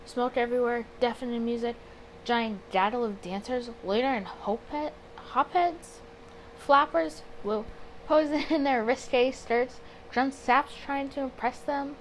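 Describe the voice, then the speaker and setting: A woman's voice: on the high side, a little slow, rough recording. A woman hosting a casual let's play, chatty and rambling, stopping to correct herself and reading visual novel text aloud. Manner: chatty, rambling, self-interrupting and apologetic. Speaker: host of a gaming channel. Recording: home recording with background noise.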